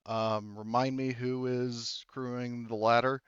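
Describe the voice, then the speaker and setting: Smooth-voiced man running a tabletop game. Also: medium pitch, little pitch movement, unhurried, a bit bright, no room sound, muffled recording. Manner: informal, sweary, hesitant, thinking aloud. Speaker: game master. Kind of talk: casual tabletop game narration.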